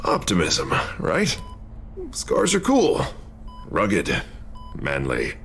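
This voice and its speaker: A wounded politician in a dramatic game. His deep voice moves slowly and swings widely in pitch, wry and self-deprecating over bruised pride, with faint noise behind. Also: wildly swooping pitch, vocal fry, a bit bright, exaggerated voice. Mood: wry bravado. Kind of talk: dramatic video game voice acting.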